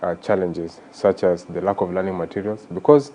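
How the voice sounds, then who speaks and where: A man hosting a radio talk show, listening with steady murmurs and asking long, measured questions. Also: deep voice, a little hiss or hum. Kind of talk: radio interview conversation.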